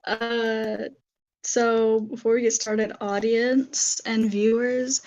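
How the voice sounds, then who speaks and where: Young woman host, slightly hoarse, soft-spoken, hesitant with fillers, casual interview. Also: a little high, level tone, slow pace, dull audio.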